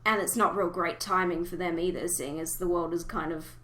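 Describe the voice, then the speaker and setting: A woman hosts a casual fan chat in a bright, forceful voice. She speaks emotively and exclaims readily, often pausing mid-thought before carrying on. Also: fairly low pitch, fairly even intonation. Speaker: podcast host. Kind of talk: casual fan discussion of an animated series.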